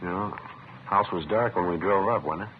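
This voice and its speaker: A man's voice: low-pitched, normal speed, close to an everyday voice. A man acting as a terse, level-headed police detective in a 1950s radio crime drama, with clipped, matter-of-fact, understated delivery. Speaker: lead actor and narrator. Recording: muffled, hissy vintage radio recording.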